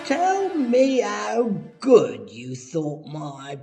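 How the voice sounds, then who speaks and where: A man playing a callous, pompous alien spaceship captain in an amateur audio drama, speaking in a deliberate, theatrical way. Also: sits high, wildly swooping pitch, mellow, faint music behind, full character voice. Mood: pompous menace. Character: menacing, callous male alien spaceship captain who writes poetry.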